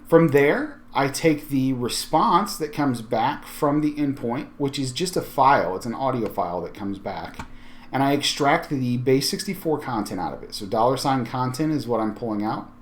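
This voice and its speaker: A man with a medium-pitched voice walks through a software tutorial. He talks in a conversational, explanatory way, as a presenter guiding viewers through a screen demonstration. His delivery is informal, often thinking aloud as he explains. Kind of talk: casual software screencast walkthrough.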